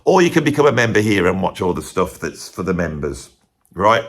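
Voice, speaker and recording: A tired man with a croaky voice, hosting a music video and thinking aloud in a rambling, casual monologue to camera. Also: deep voice, sing-song, brisk.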